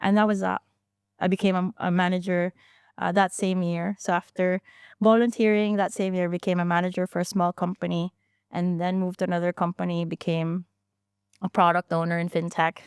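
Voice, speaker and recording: A woman in her thirties talks through her story in a relaxed interview, looping back with restarts and fillers as she goes. Her voice sits fairly low and sounds smooth and clear. The sound is close and dry. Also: normal speed, no echo. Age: 30s. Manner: chatty, many restarts and fillers, laughs easily. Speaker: founder and guest.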